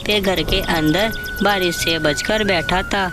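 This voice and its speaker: Very slow female storybook narrator. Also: lowish voice. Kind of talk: scripted children's story narration. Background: loud music.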